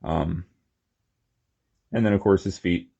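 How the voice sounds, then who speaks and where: A man's voice: low voice, takes it slowly, vocal fry, dark tone, highs cut off. A man giving a casual, unscripted hands-on toy review, rambling and murmuring to himself as he goes. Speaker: toy collector reviewing figures.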